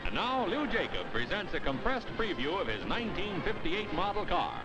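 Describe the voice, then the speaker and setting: A man's voice: high-pitched, very animated, moderate pace, rough recording. A man reading light, scripted 1950s newsreel narration. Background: loud music.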